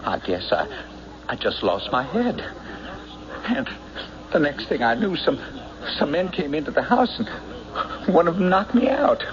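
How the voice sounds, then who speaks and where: A man playing a desperate, hot-tempered war veteran in an old radio drama, speaking in an agitated, halting, insistent way on a muffled recording. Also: on the high side, sing-song, background noise. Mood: anxious, pleading.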